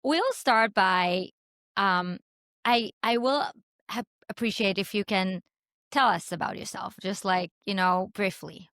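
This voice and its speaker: A woman's voice: mid-range voice, a little slow, fairly bright tone, no echo, clean studio sound. Enthusiastic woman podcast host, Hebrew native. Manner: enthusiastic, filler-heavy. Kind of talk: interview hosting. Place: home in Dallas.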